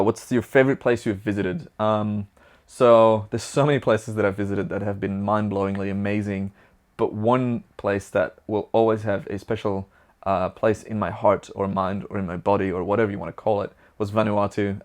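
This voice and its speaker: A man's voice: lowish voice, steady tone, normal speed. A young man from Belgium with a Flemish accent, a native Dutch speaker, answering viewer questions in a chatty, upbeat and rambling way. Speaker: vlogger.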